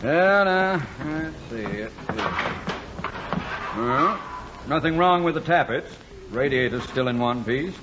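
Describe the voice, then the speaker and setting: A pompous, self-important middle-aged man in a vintage radio comedy. He blusters and bosses in a fairly high male voice, on a dull recording with faint noise. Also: animated, takes it slowly. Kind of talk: old-time radio sitcom acting.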